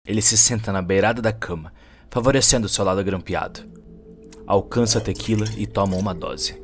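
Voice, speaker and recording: A man's voice: on the low side, brisk. A man with a Brazilian accent narrating a cyberpunk short story as a scripted, dramatic audio production. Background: faint music.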